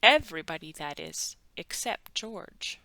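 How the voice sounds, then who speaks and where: A woman narrating a children's bedtime story with animated, dramatic emphasis and drawn-out words. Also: fairly low pitch, creaky, no echo. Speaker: children's book read-aloud narrator.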